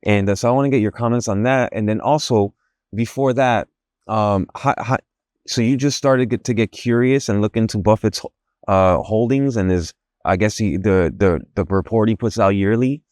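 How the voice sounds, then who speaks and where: A man hosts a podcast and asks his questions in a halting, thinking-aloud way, restarting his phrases often. His low voice is recorded close and dry, with no room echo. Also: darkish tone. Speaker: podcast host. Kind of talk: podcast interview.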